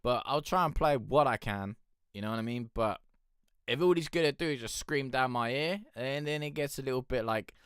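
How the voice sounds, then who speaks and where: A man with a deep voice hosting a music review show, talking frankly and loosely, swearing and rambling with lots of fillers. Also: brisk, a bit bright, clean tone, no room sound, clean studio sound. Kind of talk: casual chat reviewing submitted songs.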